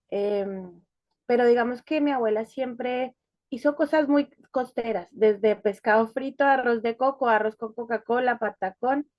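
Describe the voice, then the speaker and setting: Woman from bogota, kinda high voice, hesitant, lots of ums, dry dull recording. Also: no room sound. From Colombia, Bogotá. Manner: thoughtful, hesitant, many fillers and restarts. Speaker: interview guest. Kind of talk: conversational life-story interview.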